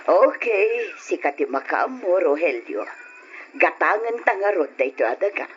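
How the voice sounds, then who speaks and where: A man's voice: sits high, sing-song, normal speed. A man playing an agreeable, doting partner in an old Ilocano radio drama, on a dull, faintly noisy recording.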